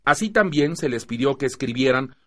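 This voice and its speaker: A man with a Mexican accent playing a patient teacher who narrates a radio drama in a measured, didactic way. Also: mid-range voice, lively intonation, bright, forceful tone. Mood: firm, explanatory. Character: patient male teacher narrating his teenage students' stories.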